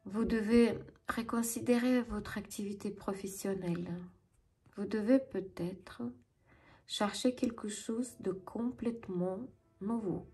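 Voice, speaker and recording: A woman with a Ukrainian accent giving a tarot reading to viewers as host, in deliberate, halting phrases. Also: medium pitch, dark tone. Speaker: tarot reader and channel host.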